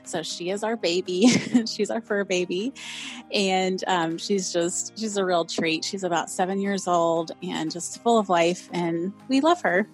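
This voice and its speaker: A woman's voice: fairly low pitch, a little slow, faint music behind. A young woman hosting a casual solo podcast, chatty and upbeat, with a slightly nasal voice and frequent "um"s. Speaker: podcast host.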